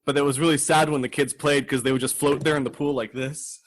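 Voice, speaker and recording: A man's voice: medium pitch, fairly even intonation, fast talker. A man hosting a comedy podcast with casual, playful, profane banter, acting out bits as he goes.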